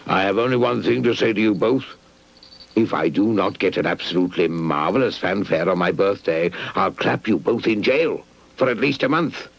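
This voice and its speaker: A man's voice: fairly low pitch, animated, normal speed, vocal fry. A man voicing a bossy, blustering toy commander in a children's puppet show, barking pompous demands and threats on a muffled old videotape. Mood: menacing. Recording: muffled, hissy old VHS tape.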